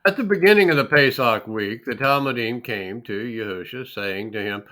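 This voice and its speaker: A man giving a solemn, deliberate reading of scripture, with dramatic emphasis on the phrases. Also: mid-range voice, pushed and bright. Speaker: scripture reader.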